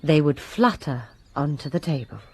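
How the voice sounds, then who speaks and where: A woman's voice: deep voice, lively intonation, normal speed, warm tone, breathy edge, no room sound. A woman playing a resentful lady's maid who gives her testimony with bitter emphasis in a radio drama.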